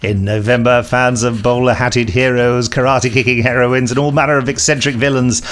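A man's voice: mid-range voice, fairly even intonation, a little fast, fairly bright tone. A man reading podcast news with playful, self-mocking asides. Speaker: podcast host.

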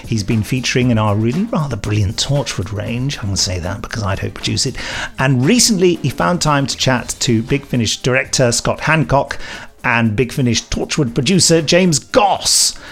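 A man's voice: medium pitch, animated, moderate pace, fairly bright tone. Jovial, self-deprecating male podcast host. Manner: jokey, chuckling, self-deprecating. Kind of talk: casual podcast chat.

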